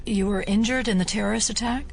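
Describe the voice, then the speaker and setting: A woman's voice: mid-range voice, steady tone, fast, creaky, a little hiss or hum, natural voice. An American woman playing a composed, concerned FBI agent questioning someone in an audio drama. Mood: concerned, probing.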